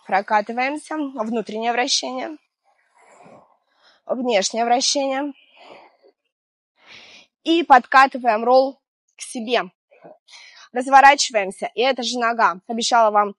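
Hoarse woman, 30s, chatty gentle fitness teacher, kinda high voice, dry close sound. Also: unhurried, long pauses, no room sound. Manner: chatty, gentle, laughs between cues. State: hoarse from illness. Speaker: fitness instructor. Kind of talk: guided exercise instruction. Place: outdoors on a seaside promenade.

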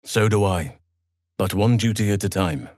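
An actor playing a big, gentle elf druid healer in a fantasy game, speaking in a measured, earnest and formal way. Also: male voice, fairly low pitch, no room sound, clean studio sound, natural voice. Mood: grave, thoughtful. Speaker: video game character voice actor.

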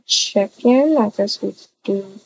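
A woman's voice: medium pitch, slow, highs cut off, slight background noise. A woman improvising a voiced video-game roleplay, chatting and narrating her own actions aloud.

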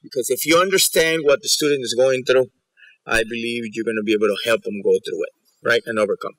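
A man in his forties from New York and Ecuador giving a conference presentation in an informal, halting way, often repeating words. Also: mid-range voice, fairly bright tone, rough recording. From South Bronx, New York and Ecuador. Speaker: keynote speaker. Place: auditorium stage at a podium.

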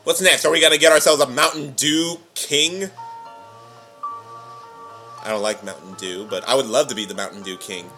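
A young man giving excited, exclamatory reaction commentary, recorded on a webcam in a living room. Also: mid-range voice, moderate pace, pauses often, soft background music. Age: young adult. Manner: animated, exclamatory. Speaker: reaction video host. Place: living room, seated on a couch.

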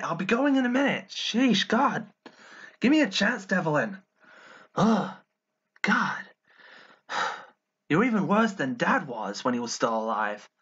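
A man's voice: mid-range voice, pushed and bright, husky, muffled recording, heavily acted. A man voicing an irritable, sulky, sardonic demon-summoner in amateur cartoon voice lines, muttering to himself in exasperation.

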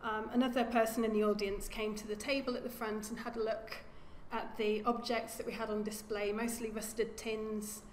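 A woman archaeologist giving an academic lecture at a lectern, speaking in a measured way and often repeating words as she corrects herself. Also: a little high, level tone. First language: English. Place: lecture room. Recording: echoey lecture hall with faint hum.

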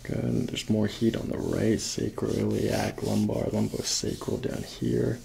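A man's voice: lowish voice, monotone, slow, vocal fry, warm tone, slight background noise. A man in his thirties working as a chiropractor, talking a patient through a hands-on exam with instructions and short check-in questions. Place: small carpeted clinic treatment room. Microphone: microphone on a boom arm near the table.

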